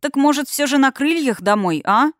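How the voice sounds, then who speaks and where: Woman voicing an eager teenage boy, pitched up. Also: normal speed, no room sound, clean studio sound. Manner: excitable, impatient. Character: eager, friendly fifteen-year-old boy eagle shifter.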